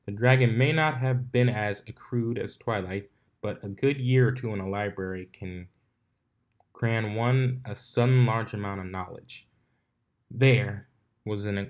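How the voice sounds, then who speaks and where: A man's voice: fairly low pitch, normal speed. A man reading fan fiction aloud as narrator, in an amateur style with occasional stumbles over the words. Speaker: fanfiction narrator.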